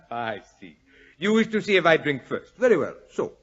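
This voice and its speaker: A courtly gentleman of old radio drama, slyly suave and effusive. His husky voice swings widely in pitch colors every flourish. The recording is dull and lo-fi. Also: very animated, measured pace, heavily acted. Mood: smooth, teasing. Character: suave French husband, effusive host, suspected poisoner.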